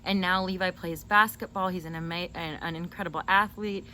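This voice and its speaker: A woman's voice: fairly low pitch, steady tone, fairly quick, sharp tone. A woman hosting a casual chat with her brother, telling stories in an informal, digressive and giggly way. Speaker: host interviewing her brother. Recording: clearly noisy background.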